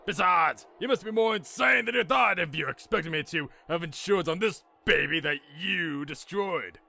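Guy doing a boastful mad scientist for a story, loud and excitable, pitched up, super bright. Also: moderate pace, gravelly, exaggerated voice. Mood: scornful. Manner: blustering, exclamatory.

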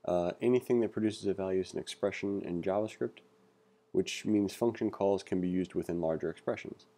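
A man reading aloud through a coding book and thinking out loud as he teaches, with a relaxed manner and frequent fillers. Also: sits low, a little fast. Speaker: coding tutor. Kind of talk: casual read-along lesson.

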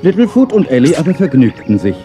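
A man's voice: on the high side, sing-song, quick pace. A man narrating a scripted audio drama for children in a storytelling style. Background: music.

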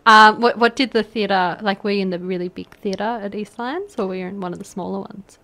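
A woman's voice: medium pitch, fairly even intonation, a bit bright. Aussie woman on community radio, chatty, lots of um and like, keeps the questions coming. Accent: Australian. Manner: chatty, lots of fillers and restarts, quick to laugh. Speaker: guest interviewer asking questions. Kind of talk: casual radio chat.

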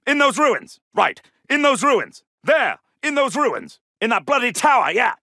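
A man's voice: very high-pitched, big pitch swings, a little slow, pushed and bright, no echo, studio quality, full character voice. A man voicing an alert soldier in a video game, giving clipped, urgent military callouts. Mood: brisk, confirming. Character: alert, gruff British male Second World War soldier. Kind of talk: shouted combat callouts.

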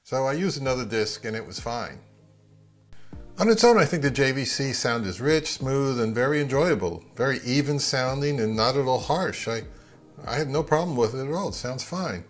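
A man's voice: mid-range voice, lively intonation, moderate pace, soft background music. A middle-aged man, narrating a product review of audio gear.

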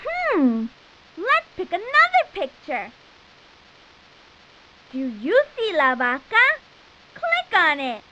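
A woman playing a cheerful, encouraging little girl cartoon explorer, enunciating clearly as she gives enthusiastic instructions in a children's educational game. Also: extremely high pitch, wildly swooping pitch, slow, pauses often, sharp tone, slight background noise, heavily acted, low-quality recording. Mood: encouraging, instructive.

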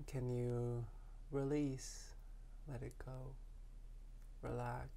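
Slow, gravelly man guiding meditation. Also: mid-range voice, slight background noise. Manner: soft, calming, unhurried instruction with long pauses. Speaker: meditation teacher. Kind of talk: guided meditation.

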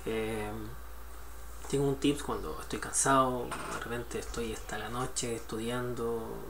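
A young man with a medium-pitched voice talks informally through a tutorial from a room indoors. He rambles and hesitates as he goes, and there is clear noise in the recording. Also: level tone, measured pace. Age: young adult. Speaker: student sharing a study tip. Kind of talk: casual chat in a screen-recorded tutorial. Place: small indoor room at a computer.

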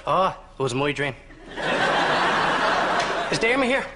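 A man's voice: a little high, sing-song, slow pace, very noisy. An actor playing a cheeky, lecherous, dopey Irish man in a broad sitcom style. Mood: cheeky, flirty. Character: cheeky, lecherous, dopey male family friend. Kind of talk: broad studio sitcom acting.

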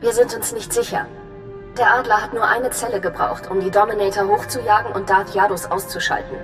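An actress playing a serious, composed imperial intelligence officer giving a briefing in a sci-fi game, crisp and official. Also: female voice, medium pitch, steady tone, fairly quick, lightly acted. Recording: reverberant hall, music behind.

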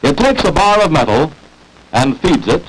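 An American man reading scripted narration for a 1940s promotional industrial film, with emphatic, salesmanlike, deliberate phrasing, on an old film soundtrack. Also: on the high side, big pitch swings, breathy edge, rough recording.

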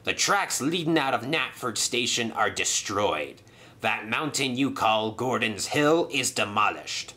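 Smug sailor villain, smooth and gloating, bright tone; a man reads him. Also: mid-range voice, lively intonation, measured pace.

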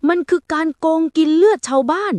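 Woman acting an angry shouting farmer uncle, high-pitched. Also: a little fast, dry recording, clean studio sound. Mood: indignant, angry. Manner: shouting accusations.

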